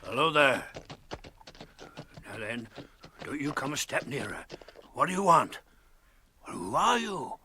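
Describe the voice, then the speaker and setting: An actor playing a kindly but gruff old hobbit farmer in a radio drama, with a folksy, plain-spoken manner. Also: male voice, medium pitch, big pitch swings, slow, pauses often, sharp tone, a little hiss or hum, exaggerated voice. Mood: challenging. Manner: folksy, plain-spoken, chuckling country turns of phrase.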